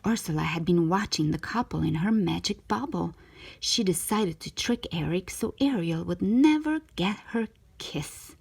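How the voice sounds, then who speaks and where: A woman narrating a children's storybook in an expressive read-aloud style, with a breathy voice. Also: on the low side, normal speed.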